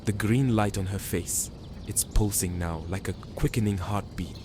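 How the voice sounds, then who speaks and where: A man playing a troubled hidden observer who narrates a fantasy drama in hushed, halting phrases. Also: lowish voice, background noise, lightly acted. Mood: tense watching. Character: watchful hidden observer, troubled chosen one, resolute. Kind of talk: first-person dramatic narration. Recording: music behind the voice.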